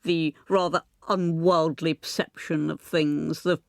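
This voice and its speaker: A woman's voice: low-pitched, steady tone, a little slow, vocal fry, no echo, studio quality. A woman presenting and interviewing on radio, articulate and emphatic in her phrasing. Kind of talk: scripted narration and conversational interviewing.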